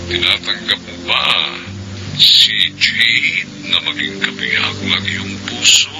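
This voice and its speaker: A Filipino man acting in a radio drama as a solemn priest, measured and ceremonial. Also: lowish voice, creaky, loud music behind the voice, rough recording. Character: solemn priest officiating a wedding.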